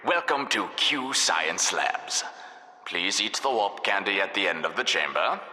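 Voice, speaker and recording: Smug evil science lab overseer for a video game, sarcastic, super bright sharp voice, echoey like a big hall. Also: male voice, deep voice, a little slow, heavily acted. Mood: falsely welcoming. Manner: mock-polite, sarcastic, theatrically expressive. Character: smug, sadistic male test-lab overseer taunting his subject. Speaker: game narrator. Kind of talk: scripted video game narration. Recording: echoey, reverberant hall sound.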